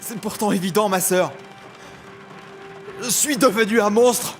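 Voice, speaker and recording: A man's voice: high voice, unhurried, soft background music. A man playing a bitter, sardonic young criminal in an audio drama, acting and narrating in intense, literary phrasing. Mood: bitter anguish. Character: bitter, sardonic young male criminal cursed with healing flesh.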